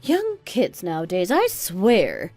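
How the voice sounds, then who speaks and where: Woman acting a spiteful, animated mother-in-law in her sixties. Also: fairly low pitch, takes it slowly, no echo, soft background music, heavily acted. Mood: scolding, contemptuous. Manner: berating, condescending, dramatic exclamations. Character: spiteful, self-righteous 62-year-old mother-in-law, scolding. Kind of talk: scripted radio drama dialogue.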